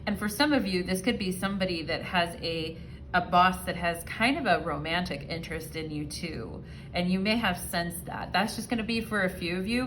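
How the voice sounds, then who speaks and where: A woman's voice: fairly low pitch, a bit bright, slight background noise, rough recording. A woman giving a casual, rambling tarot reading to her viewers, often checking in with "okay" and "you know". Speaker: tarot reader.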